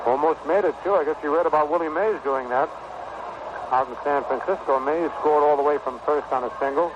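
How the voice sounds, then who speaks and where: An American man calling baseball play-by-play in 1960s radio style, in measured, short phrases with pauses. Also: a little high. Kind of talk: 1960s radio sports commentary. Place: stadium broadcast booth. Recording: noisy dull vintage 1960 radio broadcast.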